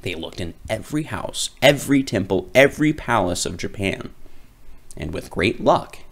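A man reading a children's picture book aloud as a storyteller, with dramatic, drawn-out emphasis. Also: lowish voice, big pitch swings, fairly bright tone.

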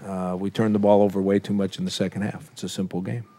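Coach guy at the postgame podium, low mellow voice, blunt, says uh a lot. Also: level tone, slight background noise. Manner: measured, plain-spoken, blunt with fillers. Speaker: head football coach. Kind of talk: postgame press conference answers.

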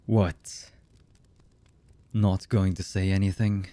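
Guy doing a cold bitter anime villain boyfriend for asmr, deep husky voice, real slow and menacing. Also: level tone, mellow, dry recording. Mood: cold menace. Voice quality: husky, low. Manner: slow, menacing, halting, often dropping to a murmur. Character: cold, embittered villain man, a yandere anime lover. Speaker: voice actor. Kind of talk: ASMR roleplay acting.

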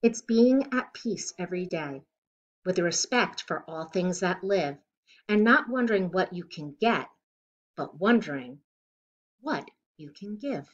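A middle-aged woman reading a children's picture book aloud in an expressive, deliberate way, recorded indoors at home. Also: lowish voice, dull audio. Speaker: children's storybook reader. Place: living room at home, indoors.

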